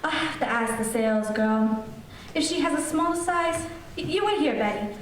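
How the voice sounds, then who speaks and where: A chatty, genteel middle-aged housewife played by a woman with a rather high voice, fussy in 1940s radio comedy style. The sound is distant and very echoey, with faint noise. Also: animated, normal speed.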